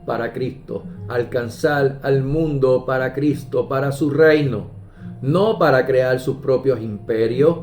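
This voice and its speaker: A man preaching a sermon, measured and emphatic, pausing often and quoting scripture. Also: medium pitch. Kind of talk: slow deliberate sermon. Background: soft guitar music.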